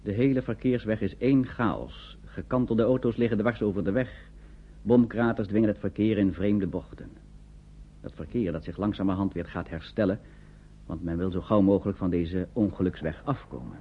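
A man narrating a Dutch radio play in a measured, descriptive storytelling style. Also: lowish voice, slightly rough. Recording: muffled, noisy old radio recording.

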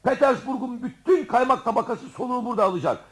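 An actor playing a vain, self-important man in a radio comedy, calling out loudly and pompously. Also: very high voice, expressive, fast talker. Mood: self-important, bossy. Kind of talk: radio theatre comedy acting.

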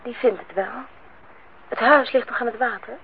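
An actress playing a lively young woman in a radio play, direct and chatty yet polite. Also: a little high, normal speed, lightly acted. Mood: matter-of-fact. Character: lively, frank young woman visiting an older acquaintance. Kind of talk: Dutch radio play acting. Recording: noisy, tinny old radio recording.

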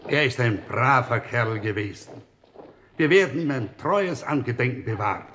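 Actor as solemn eulogizing army officer. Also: male voice, medium pitch, lively intonation, normal speed, a bit bright, slight background noise, close to an everyday voice. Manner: solemn, declamatory. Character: solemn army comrade giving a formal eulogy. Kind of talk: radio drama acting.

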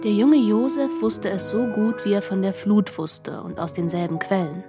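A woman narrating scripted literary prose for radio in a measured, formal storytelling manner. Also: medium pitch, animated, over music.

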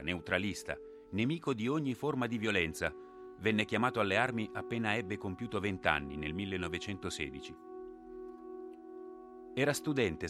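An actor playing a grave narrator in a war drama, telling the story in an emphatic, measured way. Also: male voice, on the low side, natural voice. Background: music.

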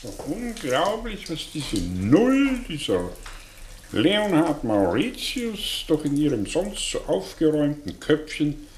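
Radio drama casting: vain, sardonic older professor, slow and high-pitched for a man, wildly animated delivery. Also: a little hiss or hum, heavily acted. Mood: mocking. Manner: theatrical, sardonic, grandiloquent. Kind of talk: 1960s German radio play acting.

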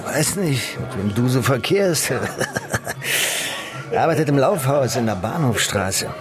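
A man's voice: mid-range voice, big pitch swings, unhurried. An actor in a German radio drama playing a gossipy, streetwise male bar informant with a slangy, chummy manner. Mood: sly, confiding. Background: music.

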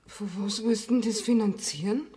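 A woman's voice: medium pitch, steady tone, brisk, dark tone, close to an everyday voice. An actress playing a practical married woman in a radio play, plain-spoken, with a Bavarian accent. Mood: worried. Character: practical, worried married woman friend, expecting a child. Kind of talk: German radio play acting. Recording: reverberant 1970s radio drama studio.

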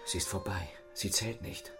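An actor playing a tender, secretive gentleman thief who speaks in an intimate, earnest hush to his lover in a radio drama. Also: sits low, steady tone, normal speed, music in the background, natural voice. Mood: regretful, protective.

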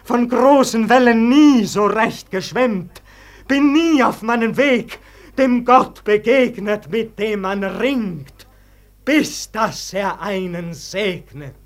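A man's voice: high-pitched, takes it slowly. An actor playing an anguished, brooding man facing Death, declaiming verse in a theatrical, plaintive way in a classic German radio drama. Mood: bitter, despairing.

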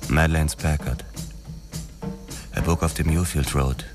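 Very deep-voiced, haunted noir cop. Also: male voice, fairly even intonation, measured pace. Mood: brooding, tense. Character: brooding, haunted 1940s Los Angeles police officer narrating. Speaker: narrator and lead actor. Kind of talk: German noir radio drama narration. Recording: music under the voice. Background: music.

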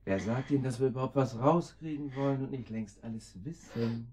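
An actor playing a smooth-talking, ironic sidekick crook in a radio crime play, courteous in a flippant way. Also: male voice, medium pitch, a little fast, dark tone, close to an everyday voice. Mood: wry, flippant. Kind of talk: radio crime drama acting.

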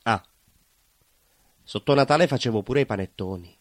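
An actor playing a proud, nostalgic neighbourhood baker with a southern Italian Calabrian accent, in a colloquial, confiding theatre monologue. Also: male voice, medium pitch, normal speed, no echo, clean studio sound, close to an everyday voice. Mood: wry, grumbling. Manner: colloquial, confiding, with rhetorical asides. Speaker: stage actor performing a monologue.

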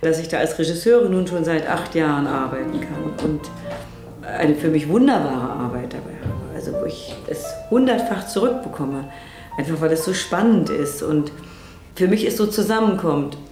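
A woman's voice: deep voice, lively intonation, moderate pace. A woman theatre director talking reflectively about her work, as in an interview. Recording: roomy echo, music behind. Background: music.

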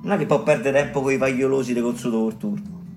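A man acts out an earthy, talkative village caretaker in a radio play, with a thick Roman accent. He digresses and insists, speaking straight to his listeners at a middling pitch, over clearly audible music. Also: fairly even intonation, fast talker, lightly acted. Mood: confiding, emphatic. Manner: colloquial, digressive, emphatic, addressing listeners directly. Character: earthy garrulous male health-office caretaker, smallpox survivor and storyteller. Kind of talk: theatrical dialect monologue for a radio play.

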